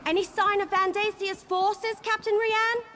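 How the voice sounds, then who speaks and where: A woman's voice: extremely high pitch, little pitch movement, a little slow, music in the background. A woman voicing a plucky, chatty young female archer in a video game, candid and earnest. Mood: urgent. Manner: chatty, self-deprecating, earnest. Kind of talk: video game character acting.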